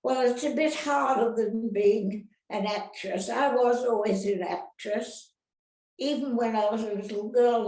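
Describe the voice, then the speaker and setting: An older woman, an actress reminiscing casually in an interview, rambling and halting, often turning questions on her listeners. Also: on the high side, mellow. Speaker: retired actress being interviewed. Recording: roomy, echoey sound.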